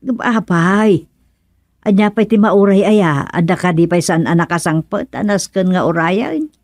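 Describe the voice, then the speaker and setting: A woman playing a nosy, lowish-voiced Ilocano villager. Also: a little slow, darkish tone, dry recording, studio quality. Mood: puzzled. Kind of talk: radio drama comedy acting in Ilocano.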